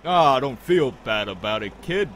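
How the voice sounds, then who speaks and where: Man acting a chatty, animated small-time crook. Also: mid-range voice, unhurried, slight background noise. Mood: teasing. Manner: teasing, folksy, talkative. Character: Clayton, chatty good-humored male drug dealer. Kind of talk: audio drama dialogue.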